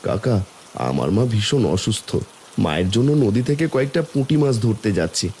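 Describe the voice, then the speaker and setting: A man's voice: fairly low pitch, sing-song, fairly quick, darkish tone, slight background noise. A man voicing a poor, devoted village son and father, earnest and humble, in a cartoon folk tale.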